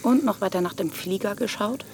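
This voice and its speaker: A woman's voice: medium pitch, a little fast, a little mellow, faint music behind, lightly acted. An actress playing a wary, probing woman in an audio drama, given to pointed questions. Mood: wary, concerned. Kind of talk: German audio drama acting.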